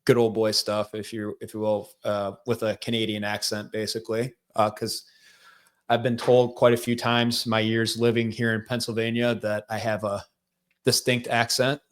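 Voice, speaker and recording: A man with a Michigan accent, a guest telling his life story in a relaxed, rambling way with lots of fillers. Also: fairly low pitch, normal speed. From central Michigan. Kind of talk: casual interview storytelling.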